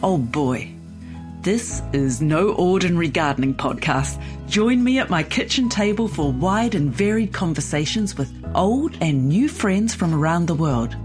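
A woman hosting a podcast, hoarse from losing her voice, explaining things in a lively, chatty way. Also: lowish voice, unhurried, faint music behind. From New Zealand. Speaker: podcast host. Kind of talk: solo podcast chat.

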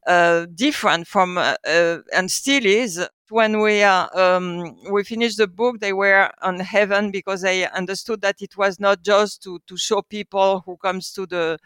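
A middle-aged woman with a French accent shares stories as an interview guest. Her voice is clear and bright, recorded very dry. She feels for her words, often repeating a phrase before moving on. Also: medium pitch, a little slow, few pauses, no echo. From France. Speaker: guest, publishing house co-founder. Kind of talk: conversational storytelling.

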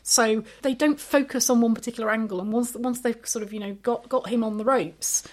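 A woman's voice: a little high, fairly even intonation. Woman briefing on parliament. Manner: brisk, informative, with fillers. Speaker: podcast co-host. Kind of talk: casual political chat.

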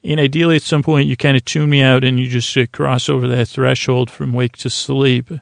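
A man with a creaky, gravelly voice hosting a sleep podcast, giving a halting, meandering, soothing bedtime monologue full of digressions. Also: mid-range voice, level tone, no echo. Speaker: podcast host. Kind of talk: rambling bedtime monologue for falling asleep.

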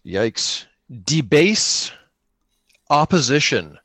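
A man improvising his way through a tabletop game in casual chat, thinking out loud as he plays. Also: on the high side, sing-song, drawn-out words, slightly rough. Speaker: podcast player improvising a solo tabletop game.

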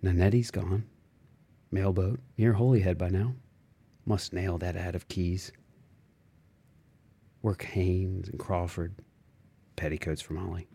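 A man reads literary fiction in a low, relaxed voice. His delivery is unhurried and musing, moving through fragmented thoughts with expressive shifts. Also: vocal fry, breathy edge. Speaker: audiobook reader. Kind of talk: literary audiobook narration of interior monologue.

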